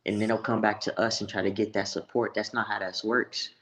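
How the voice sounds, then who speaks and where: A man's voice: lowish voice, flat delivery, brisk, a bit bright. An American man from Texas giving blunt, profane opinions in a casual, slangy sports chat. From Texas, United States.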